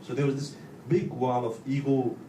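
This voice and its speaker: Nepali-accented man, halting, in an echoey room. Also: medium pitch, little pitch movement, mellow. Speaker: guest speaker, volunteer. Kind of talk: personal talk to an audience. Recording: echoey room, distant mic, clear noise.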